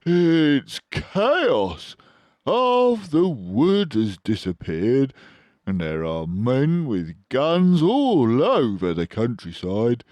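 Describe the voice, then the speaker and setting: A man voicing a frantic, starving, grumbling adult male badger in a children's storybook, excitable and full of despair. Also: medium pitch, no echo, voice pushed up, heavily acted. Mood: agitated. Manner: excitable, despairing, drawn-out exclamations.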